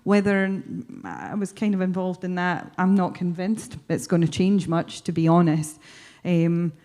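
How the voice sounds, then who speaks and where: A woman's voice: lowish voice, lively intonation, unhurried, dark tone. A woman speaking unscripted as a panellist, thinking aloud and landing emphatically on her points. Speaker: panel speaker on outdoor education.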